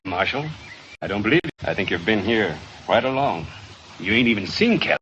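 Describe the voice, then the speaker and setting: An actor playing a cornered, distrustful American outlaw in a radio western, with a drawling, folksy, defiant way of talking. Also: male voice, lowish voice, lively intonation, fairly quick, pushed and bright. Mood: distrustful, bitter. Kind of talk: old-time radio western acting. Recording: muffled, hissy old radio recording.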